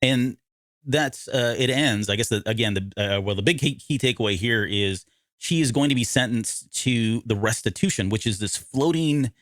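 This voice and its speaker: A man's voice: mid-range voice, moderate pace, a bit bright, no echo, clean studio sound. A man co-hosting a podcast, talking through a story casually and often correcting himself as he explains. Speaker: podcast co-host. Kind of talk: casual discussion of an audio drama.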